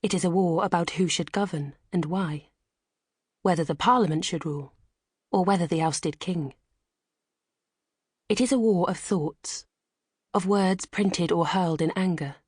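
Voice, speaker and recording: A woman narrates a historical story in a solemn, measured manner. Her voice is fairly low and very breathy, recorded close and dry with little room around it. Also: a little mellow, no echo. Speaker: audiobook narrator. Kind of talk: scripted dramatic audiobook narration.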